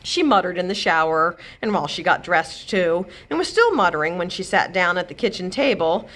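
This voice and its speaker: A woman reading a children's chapter book aloud as a storyteller, with expressive delivery. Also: lowish voice, a little fast. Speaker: librarian host and storyteller. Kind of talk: children's read-aloud narration.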